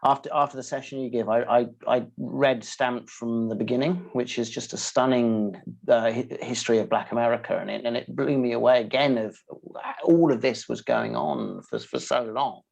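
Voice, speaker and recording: Male interview host, gravelly tone, hesitant and searching. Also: mid-range voice, a little mellow, rough recording. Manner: halting, searching for words, repeats himself. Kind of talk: conversational interview questions.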